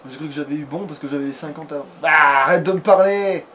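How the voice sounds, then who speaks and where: High-voiced man doing casual game commentary. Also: quick pace, sharp tone, a little hiss or hum. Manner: rambling, playful, mildly foul-mouthed. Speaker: let's player, playing and hosting the video. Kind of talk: casual let's play commentary.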